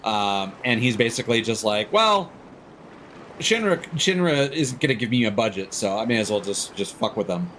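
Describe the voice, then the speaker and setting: A man's voice: fairly low pitch, expressive, normal speed, fairly bright tone, a little hiss or hum. A man hosting a game livestream, giving rambling, opinionated explanations in a casual, chatty way.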